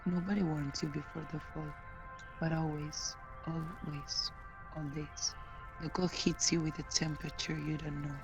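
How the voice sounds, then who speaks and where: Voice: mid-range voice, level tone, measured pace, rough recording. A person reading spoken-word poetry as a recited piece. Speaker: poetry reader. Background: music.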